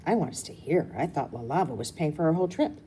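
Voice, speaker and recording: Woman playing young girl, storybook character, excitable, sighing and whining, soft-edged timbre, slight noise. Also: low-pitched, fast talker. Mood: whiny. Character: excitable, whiny, gushing young girl, a little sister.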